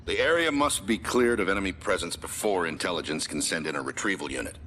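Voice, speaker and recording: A man playing a gruff, businesslike military commander who gives terse, authoritative orders in a video game. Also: low voice, animated, quick pace, sharp tone. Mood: stern, businesslike. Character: gruff, businesslike male military commander briefing his squad. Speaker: mission commander. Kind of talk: video game cutscene acting. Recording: very noisy game audio.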